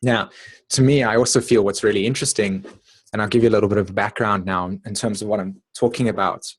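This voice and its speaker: A man with a South African accent giving an informal, reflective talk in an online meeting, haltingly repeating words and checking in with his listeners. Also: fairly low pitch, fairly even intonation, husky. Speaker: astrologer presenting at an online meeting. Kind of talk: informal spoken lecture with personal reflections.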